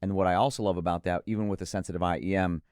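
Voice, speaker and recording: A man's voice: deep voice, steady tone, racing, a little mellow, smooth, dry recording, clean studio sound. A man co-hosting an audio gear preview, chatting enthusiastically and emphatically about products. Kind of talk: enthusiastic product review chat.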